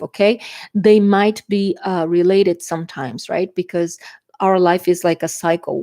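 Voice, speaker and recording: A woman's voice: mid-range voice, a little mellow. A woman from Brazil with a Brazilian Portuguese accent, whose native language is Portuguese, giving a chatty tarot reading to camera and often checking in with her viewers. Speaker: tarot reader.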